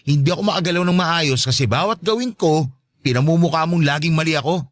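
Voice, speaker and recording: A man playing a touchy but soft-hearted stepfather in a radio drama, with an emotional delivery. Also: fairly high pitch, fast, no room sound, studio quality, natural voice. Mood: exasperated, defensive. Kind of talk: Tagalog radio drama acting.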